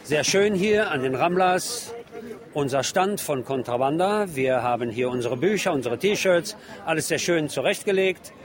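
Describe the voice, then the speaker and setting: A man's voice: a little high, animated, moderate pace, crowd noise. A man presenting radio reportage as host and street reporter, switching between German and Spanish.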